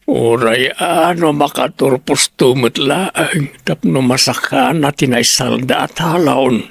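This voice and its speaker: A woman's voice: extremely low pitch, slow, vocal fry, husky, no echo, studio quality, exaggerated voice. A woman acting a concerned, plain-spoken family member in an Ilocano radio drama. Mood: earnest.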